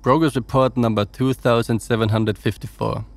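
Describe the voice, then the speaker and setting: An actor playing a wry, dutiful officer logging reports on a space station in an audio drama, with dry, teasing delivery. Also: male voice, mid-range voice, steady tone, normal speed, gravelly, natural voice. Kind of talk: audio drama log monologue and dialogue.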